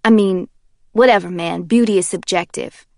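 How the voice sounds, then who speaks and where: A woman voicing a brash, joking young man who is easily indignant, talking loud and high-strung, full of exclamations. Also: normal speed, vocal fry, no room sound, clean studio sound, close to an everyday voice.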